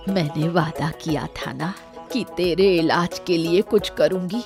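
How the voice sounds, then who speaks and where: A woman's voice: lowish voice, a little slow. A woman playing a shrewd, caring old village grandmother in a cartoon story, speaking in an assured, coaxing way. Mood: sly, tender. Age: older. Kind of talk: scripted cartoon story acting. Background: music.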